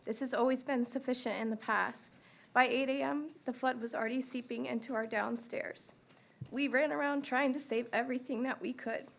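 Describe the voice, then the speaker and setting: Woman, fairly high voice, gravelly fry, steady orderly account, county meeting public comment. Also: normal speed. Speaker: resident giving public comment. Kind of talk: prepared personal testimony. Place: county commission meeting room.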